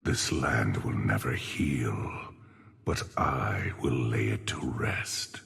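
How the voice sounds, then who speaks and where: An actor voicing a grim, weary, ancient necromancer gravedigger in a video game, raspy, slow and solemn. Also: male voice, a bass voice, a bit bright, big-room sound, soft background music, heavily acted. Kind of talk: video game character voice lines.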